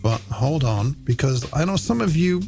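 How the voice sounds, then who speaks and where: A man's voice: medium pitch, expressive, normal speed, gravelly. An Irish man hosting a late-night radio music show, presenting with a playful, theatrical and polished delivery. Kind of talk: radio DJ presenting, game segments and shout-outs. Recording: music bed under the voice.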